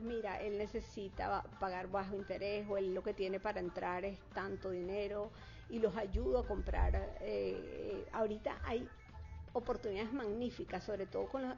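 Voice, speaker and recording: A woman's voice: medium pitch, gravelly, darkish tone. A woman working as a real estate consultant, answering interview questions fluently with frequent fillers and restarts. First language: Spanish. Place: Florida. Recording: dull lo-fi sound.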